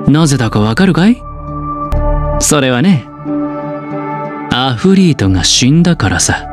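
A man voicing a smug, scheming young gambler in a Japanese anime game, with smooth, teasing, theatrical delivery. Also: high-pitched. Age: young adult. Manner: smooth, theatrical, teasing, drawn-out dramatic pauses. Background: background game music.

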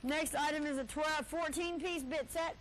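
A chatty woman in her thirties runs a live local auction show, walking a handheld camera through a cluttered stockroom. Her voice sits high and she tosses off teasing asides to the crew between items. A faint hiss hangs under the old tape recording. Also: steady tone, moderate pace. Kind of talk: live cable TV sales patter.

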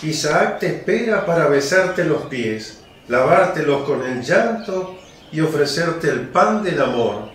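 A man's voice: fairly high pitch, very animated, slow, fairly bright tone, full character voice. An actor playing a humble old father in a radio play, reverent and emotional. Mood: reverent, grateful. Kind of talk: Spanish-language radio play acting. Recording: roomy echo, faint birdsong noise.

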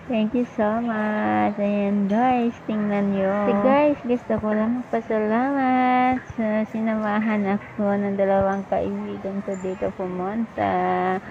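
Slow-talking woman vlogging in a busy mall. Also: mid-range voice. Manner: chatty, joking, addresses viewers as guys. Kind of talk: casual vlog narration to viewers. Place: indoor shopping mall. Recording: dull lo-fi phone audio with mall noise.

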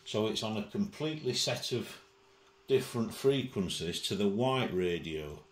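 Very low male voice, unhurried, informal tutorial, thinking aloud, roomy echo. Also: expressive, a little hiss or hum. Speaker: tutorial presenter. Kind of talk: informal spoken software demonstration. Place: indoors at a computer desk.

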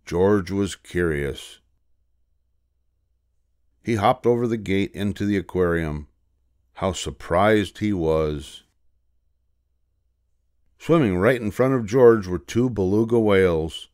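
An older man narrates a children's storybook in a low, deep voice. He takes his time, reading expressively and with measured care. The sound is close and dry. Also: darkish tone, no echo.

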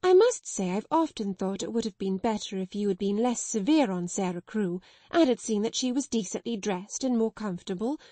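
A woman's voice: lively intonation, brisk, hardly any pauses, mellow, dry recording, close to an everyday voice. A woman voicing a timid middle-aged spinster schoolmistress who breaks into tearful, hysterical accusations. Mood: timid, pleading. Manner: tearful, pleading, shrill outbursts.

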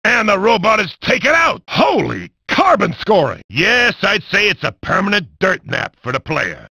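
An actor voicing a bombastic, wisecracking, gleefully violent sports announcer in a video game, with a gravelly, raspy voice and hammy delivery. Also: male voice, high-pitched, huge pitch swings, moderate pace, bright, forceful tone, dry recording, exaggerated voice. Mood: wry, gloating. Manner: hammy, wisecracking, often bellowing. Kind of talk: over-the-top sports commentary for a video game.

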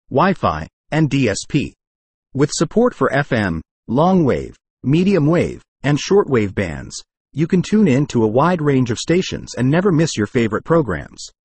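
A man with a husky voice reads a scripted product review in a formal, explanatory way. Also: mid-range voice, no room sound. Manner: formal, read-aloud explanatory delivery.

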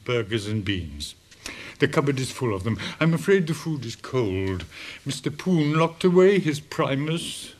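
A man's voice: mid-range voice, sing-song, a little slow. A man acting in a radio drama as an elderly, weary schoolmaster, speaking formally and hesitantly with dry irony. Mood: mock courtly. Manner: formal, hesitant, drily ironic. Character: elderly weary schoolmaster, courteous and drily ironic.

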